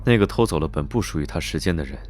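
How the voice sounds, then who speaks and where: An actor playing a suave, teasing young gentleman investigator in an animated drama, smooth-talking and nonchalant. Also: very deep voice, racing, faint music behind. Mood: grave. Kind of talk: Chinese animated drama dubbing.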